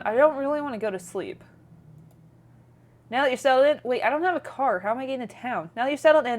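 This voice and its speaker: A woman streamer reading game narration aloud with playful, chatty casual commentary. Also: fairly high pitch.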